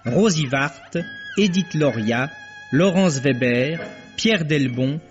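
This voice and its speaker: A man announcing credits in 1950s French radio style, formal, deliberate and dramatic, on a dull vintage recording. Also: a little high, animated. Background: loud enough music to hear clearly.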